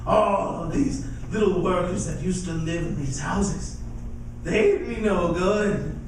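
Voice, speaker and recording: A man's voice: high-pitched, slow, a little hiss or hum. A man acting in live radio theater as a feverish, scheming militia survivor of an alien invasion, ranting in a folksy, emphatic way. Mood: scornful. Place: performing arts center stage. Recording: echoey live theater, distant mic, loud music. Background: music.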